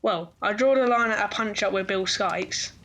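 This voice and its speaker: A woman's voice: mid-range voice, moderate pace, a bit bright, a little hiss or hum, lightly acted. A woman playing a cocky, charming teenage boy pickpocket in an audio drama, breezy and swaggering.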